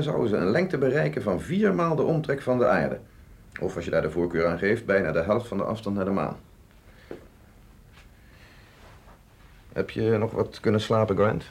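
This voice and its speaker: Husky, low-voiced chief medical officer. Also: male voice, sing-song, brisk, darkish tone, full character voice. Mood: explanatory. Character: explanatory chief medical officer, male, earnest and learned. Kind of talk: Dutch radio drama acting.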